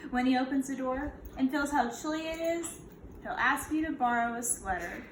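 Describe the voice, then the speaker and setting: Female kindergarten teacher, high-pitched, picture-book read-aloud with questions to the listeners, recorded in an echoey room. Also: moderate pace. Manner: instructive, prompting listeners with questions. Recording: echoey room, clear background noise.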